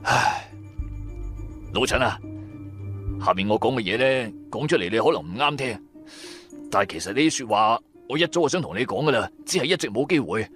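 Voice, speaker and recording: A man's voice: medium pitch, animated, a little fast, pauses often, a bit bright, faint music behind, lightly acted. A man voicing a loyal, scatterbrained young sidekick in a radio drama, colloquial, hesitant and confiding. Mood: hesitant, earnest. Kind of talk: radio drama storytelling dialogue.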